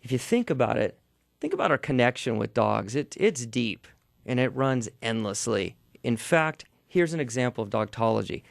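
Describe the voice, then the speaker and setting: A man's voice: mid-range voice, very animated, moderate pace, vocal fry, dry recording, studio quality. A man appearing as an author on a radio show, telling stories in a playful, tongue-in-cheek way. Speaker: author and guest. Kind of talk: casual studio interview. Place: radio studio.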